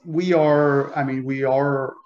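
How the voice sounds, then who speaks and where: A man whose first language is French tells a story as a guest author, animated and full of analogies and fillers. His voice sits at a middle pitch. The sound is roomy and echoey, with a dull, lo-fi tone. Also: takes it slowly. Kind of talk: conversational interview.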